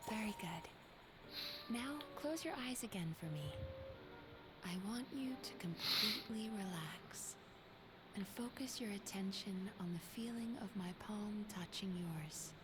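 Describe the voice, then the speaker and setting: A woman as a slow, soothing dream therapist, echoing. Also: medium pitch, expressive, natural voice. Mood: soothing, hypnotic. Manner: tender, coaxing, hushed guidance with affectionate endearments. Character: gentle, doting female Baku dream therapist, soothing and playful. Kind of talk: scripted video game voice acting. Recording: reverberant, with prominent music behind. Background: loud game music.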